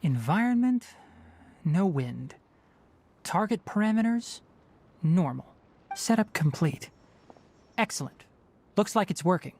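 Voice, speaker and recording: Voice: mid-range voice, big pitch swings, a little slow, long pauses, a little mellow. An actor voicing a composed, clinical young scholar in a video game, speaking in a precise, formal, lecturing way. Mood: focused, analytical. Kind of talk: scripted video game dialogue.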